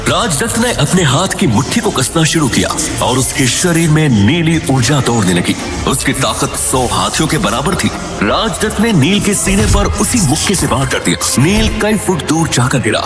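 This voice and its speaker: Male narrator, slightly high voice, dramatic scripted storytelling, echoey, music bed. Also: big pitch swings, moderate pace.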